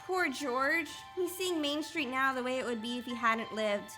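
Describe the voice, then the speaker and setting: A woman's voice: high voice, normal speed, over music, natural voice. A woman playing an earnest, easily flustered female guardian angel in a radio play. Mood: gentle, solemn. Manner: earnest, excitable, hesitant when flustered.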